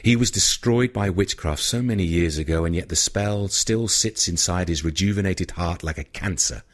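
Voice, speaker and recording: Man playing a cold, commanding vampire lord. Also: deep voice. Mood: cold contempt. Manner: cool, measured, menacing. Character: cold, domineering ancient male vampire, scheming and seductive.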